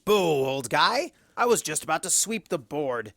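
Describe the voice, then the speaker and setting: A woman's voice: low-pitched, big pitch swings, a little slow, vocal fry, no room sound, studio quality, exaggerated voice. A woman voicing a theatrical male chaos spirit who drawls and sneers. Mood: mocking.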